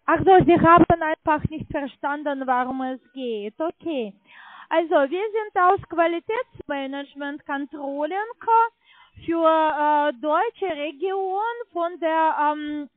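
A woman's voice: high-pitched, slow pace, no echo. A woman call centre agent surveying a customer, chatty and questioning, with non-native phrasing. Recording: tinny narrowband phone line.

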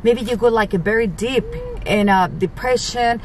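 A woman's voice: a little high, unhurried, far from the mic, low-quality recording. A middle-aged woman talking earnestly in a car, a little away from the microphone. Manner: earnest, speaks of faith. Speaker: family member. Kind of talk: casual chat. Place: inside a car. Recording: off-mic with background noise.

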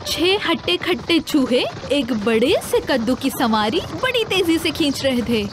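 A woman narrating a scripted fairy tale for children in an expressive, dramatized way. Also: high-pitched, background noise. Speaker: storyteller.

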